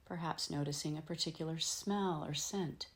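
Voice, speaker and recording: A woman teaching yoga nidra, leading a guided meditation in gentle, soothing phrases with frequent pauses. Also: sits low. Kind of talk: guided meditation, slow instruction with long pauses.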